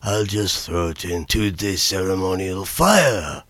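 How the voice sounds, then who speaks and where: A man's voice: low voice, animated, measured pace, very noisy. A man playing mock-courteous, bickering master scientists in a comic radio skit, speaking formally and ceremoniously. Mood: polite indignation.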